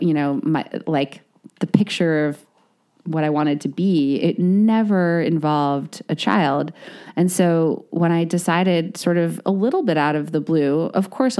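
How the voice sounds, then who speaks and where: A woman's voice: low-pitched, mellow, smooth. A woman in her late thirties, an author, talking reflectively in a casual interview and searching for words with many fillers. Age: 30s. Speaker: author guest.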